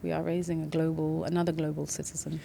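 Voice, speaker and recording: British woman in her 40s chatting as a guest, lively storyteller, laughs a lot, faint hum behind. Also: deep voice, fast talker, gravelly. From England. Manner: animated storytelling, frequent laughs and restarts. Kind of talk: casual interview conversation.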